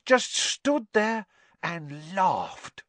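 A man voicing an excitable young male bus conductor who gossips and keeps seeking agreement. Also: high voice, extremely slow, no echo, pitched above the performer's own voice, exaggerated voice. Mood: excited gossip.

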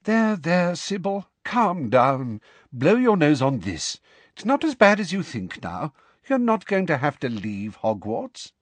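A man voicing a stern older woman teacher who comforts someone briskly and firmly. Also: fairly high pitch, sing-song, dry recording, voice pushed up. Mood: soothing. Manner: brisk, firm, soothing.

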